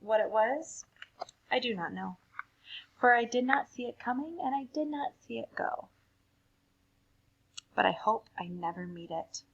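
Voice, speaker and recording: A woman with a husky, gravelly edge to her voice reads poetry for children. Her pitch moves around a lot, and her delivery is playful and casual. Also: measured pace, pauses often, dull audio. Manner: animated, playful reading. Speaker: reader of children's poems. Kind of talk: casual chat and expressive read-aloud of children's poetry.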